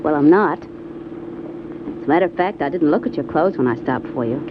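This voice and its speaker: A woman's voice: low voice, lively intonation, moderate pace. An actress playing a poised, alluring woman in her twenties, coy and composed, in an old-time radio drama. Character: alluring, poised young woman, about twenty-five, coyly inviting. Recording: dull, noisy vintage radio recording.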